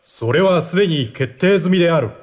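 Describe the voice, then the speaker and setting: Stern, commanding Japanese officer played by a man, highish voice, reverberant hall, radio drama. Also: lively intonation, quick pace, a little mellow, natural voice. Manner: formal, commanding. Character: stern Japanese army officer addressing prisoners.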